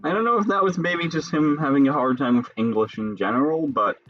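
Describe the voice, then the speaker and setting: A young man from Chicago with a Chicago accent, chatting casually with viewers during a game stream and musing between pauses. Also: on the high side, highs cut off, soft background music. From Chicago, Illinois. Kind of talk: casual live-stream chat while gaming.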